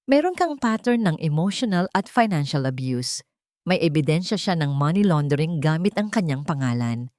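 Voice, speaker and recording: A woman's voice: deep voice, darkish tone, dry recording. Authoritative Filipino corporate chairman for story narration female reader, stern, formal, accusing, dry sound.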